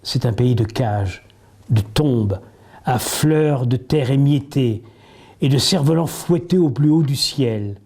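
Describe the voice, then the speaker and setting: A man reciting poetry in a solemn style, with measured, deliberate phrasing and pauses between the lines. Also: medium pitch, darkish tone. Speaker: poetry reader.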